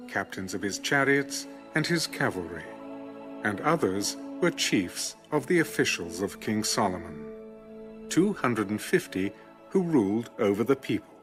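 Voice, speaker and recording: Man, scripted scripture narration, formal, animated pitch movement, faint musical backing. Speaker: narrator of an audio Bible.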